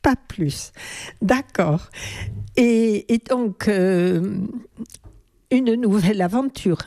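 Slow, mellow-voiced woman interviewer. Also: medium pitch. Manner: gentle, prompting questions. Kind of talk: radio interview.

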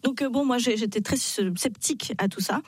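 A woman guest in a radio studio interview, telling a personal story in an animated way and acting out the dialogue she quotes. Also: a little high, fairly quick, slightly rough, no echo. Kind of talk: personal testimony in conversation.

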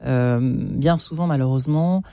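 A woman's voice: rumbling low, measured pace, gravelly, warm tone, no room sound, studio quality. A woman hosting a radio talk show, prompting her guest with questions and short interjections. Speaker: radio host.

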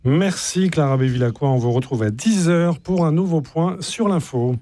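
A man's voice: fairly high pitch, expressive, normal speed, noisy background. A man hosting a live radio debate, asking probing questions and rephrasing what is said. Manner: probing, rephrases guests' points.

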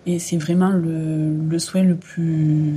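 A woman, a guest on a radio interview. She speaks in a slightly low, mellow and husky voice, with hesitations and short words of agreement. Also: level tone, slow. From France, Occitanie. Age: early 20s. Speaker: thermal spa agent, guest.

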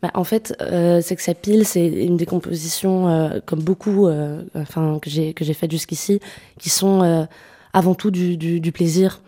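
A young woman with a low, mellow voice talks as an interview guest. She speaks softly and hesitantly, often restarting her sentences and filling pauses. Her tone stays warm and unhurried. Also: steady tone. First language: French. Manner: soft-spoken, hesitant, many fillers and restarts. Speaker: singer-songwriter guest. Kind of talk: radio interview conversation.

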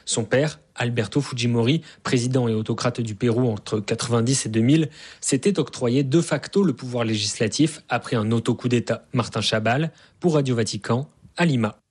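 Man correspondent, formal report, husky voice, mid pitch. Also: normal speed, a little mellow. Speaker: radio correspondent. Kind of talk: scripted news report.

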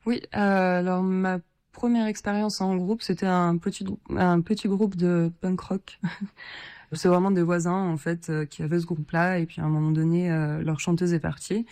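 A woman from Lithuania, a singer-songwriter, answering radio interview questions in a shy, soft-spoken and hesitant way with many fillers. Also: fairly low pitch, flat delivery, normal speed, clean tone, no echo.

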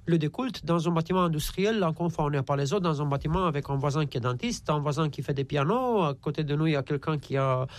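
A man from Romania, a guest priest speaking conversationally and haltingly, repeating words as he searches for them. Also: on the high side. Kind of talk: conversational radio interview.

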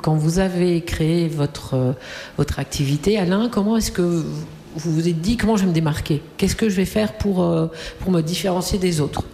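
A woman co-interviewer asking her questions in a measured way. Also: fairly low pitch, mellow, reverberant, long reverb tail, slight background noise. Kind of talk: casual interview.

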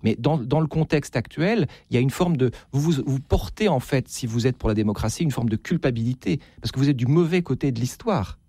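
Male author in interview, soft dark timbre, hesitant phrasing with repeats, dry close sound. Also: mid-range voice, expressive, husky, no echo. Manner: halting, repeats words while searching for phrasing. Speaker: guest novelist. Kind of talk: unscripted interview discussion.

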